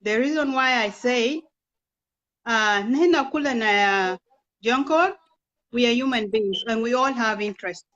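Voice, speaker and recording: Woman, 30s, raised in Kenya; earnest, persuasive interview guest, slightly higher voice, dull lo-fi sound. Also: moderate pace, a bit bright. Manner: earnest, persuasive, asks rhetorical questions. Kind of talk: impassioned political talk.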